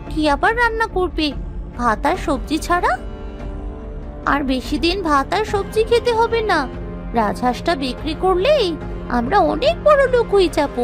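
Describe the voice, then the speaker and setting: A woman acts out an excitable young village girl in a children's cartoon. The voice is extremely high and swings widely in pitch, exclamatory and theatrical, with music playing clearly behind it. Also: full character voice. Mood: scornful, eager. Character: young village girls, excitable and squabbling sisters. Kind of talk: children's moral-story cartoon acting.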